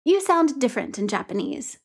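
A woman voicing a bright, easygoing young man who teases his friend cheerfully in a storybook reading. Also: extremely high pitch, big pitch swings, a little fast, creaky, husky, full character voice. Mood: playful. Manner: teasing, cheerful.

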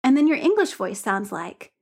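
A man's voice: very high-pitched, big pitch swings, moderate pace, vocal fry, breathy edge, full character voice. A woman voicing a sunny, teasing young man. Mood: playful. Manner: teasing, cheerful. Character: bright, easygoing, playful young man.

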